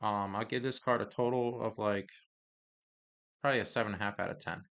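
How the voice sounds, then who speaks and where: A man with a bright, ringing voice talks through new trading cards in a relaxed review, reading card text aloud and then giving his take. He talks haltingly, with pauses and restarts, and his voice is going a little hoarse. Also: on the low side. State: voice getting hoarse.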